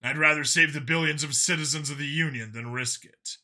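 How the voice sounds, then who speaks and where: A man's voice: a little high, a little fast, sharp tone. A man voicing an earnest, argumentative, world-weary male cop in an audiobook, arguing in long, insistent speeches. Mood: quiet, pleading.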